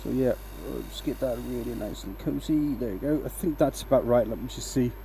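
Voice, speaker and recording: A man's voice: mid-range voice, brisk, gravelly, slight background noise, rough recording. A man from Denmark with a light, mixed accent hosts a woodturning live stream and talks casually through each step as he works. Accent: light Danish, mostly a mix of English accents picked up online. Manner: relaxed, explains as he works, asks "right?" often. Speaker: woodturning livestream host. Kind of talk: casual live-stream talk while working.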